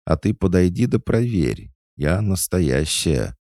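A man's voice: low-pitched, very animated, takes it slowly, darkish tone, dry recording, voice pushed up, exaggerated voice. A man performs a creepy storybook fox puppet, female and cheeky. The voice sits well above the narrator's own, brash and sing-song, in a dry recording. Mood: indignant.

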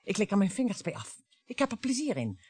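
Radio play: mocking middle-aged wife, bitter, theatrical, very animated. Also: female voice, mid-range voice, fast talker, slightly rough, dry recording. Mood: taunting. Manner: taunting, theatrical.

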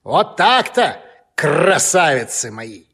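A man playing a grumbling, scolding know-it-all rook with a raspy, cawing voice in a children's audio play. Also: on the high side, big pitch swings, fairly bright tone, exaggerated voice. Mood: grumbling, warning. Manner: scolding, emphatic, drawn-out.

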